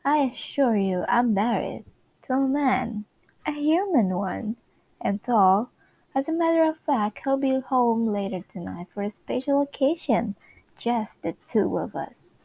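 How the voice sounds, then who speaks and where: Woman playing a young sitcom housewife, prim, earnest, evasive, slightly high, dry recording. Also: expressive, unhurried, creaky, dry recording, narrow, tinny audio, heavily acted. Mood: defensive. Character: polite, evasive young sitcom newlywed wife.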